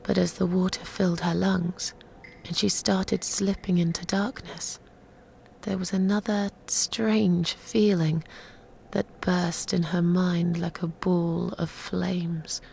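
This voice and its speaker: An actress playing a sardonic, eerie woman storyteller, delivering horror tales in a creaky voice with deliberate pauses and drops toward a whisper. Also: fairly low pitch, flat delivery, darkish tone, slight background noise. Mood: hushed, mournful, then menacing. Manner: slow, deliberate, often dropping to a whisper. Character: sardonic, eerie woman telling a dark cat legend.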